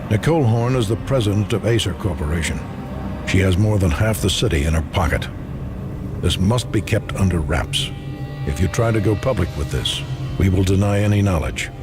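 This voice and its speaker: A grave, powerful conspirator. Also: male voice, low-pitched. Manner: grave, measured persuasion. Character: calm, powerful older male conspirator. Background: music score.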